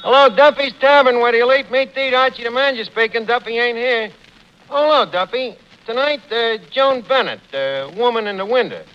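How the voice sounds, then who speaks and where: A man's voice: very high-pitched, brisk. An actor playing a wisecracking, slangy tavern manager who mangles his words in a New York accent, in 1940s radio comedy style. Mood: breezy, wry. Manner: slangy, wisecracking, full of malapropisms. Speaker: tavern manager, lead comic. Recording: dull, noisy 1940s radio recording.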